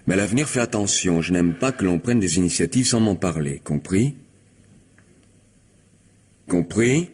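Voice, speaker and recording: An actor in a French radio mystery drama playing a cruel, greedy lover, contemptuous, commanding and impatient. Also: male voice, sits low, expressive, moderate pace, pauses often, a little mellow, breathy edge. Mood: bullying, impatient. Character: cruel, domineering, money-grubbing lover bullying a submissive woman.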